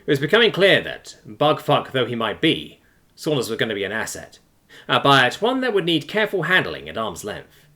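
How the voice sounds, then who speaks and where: A man reading a science fiction story aloud as a scripted, expressive audiobook narration. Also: mid-range voice, moderate pace.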